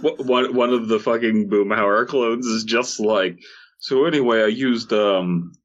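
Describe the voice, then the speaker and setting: A man's voice: on the low side, measured pace, highs cut off. Casual, sweary male podcast co-host. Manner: profane, ranting, repeats phrases for comic effect. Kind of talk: casual comedic podcast banter.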